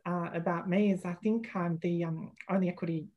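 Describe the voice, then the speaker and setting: Australian woman, podcast guest, measured and reflective, slightly low pitch. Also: fairly even intonation, lo-fi sound. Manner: thoughtful, hesitant, many fillers and restarts. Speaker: guest, employment lawyer. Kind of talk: podcast interview.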